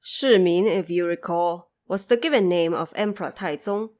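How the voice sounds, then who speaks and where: A woman's voice: lowish voice, normal speed, a little mellow. A woman narrating a scripted audio drama of a classic fantasy tale.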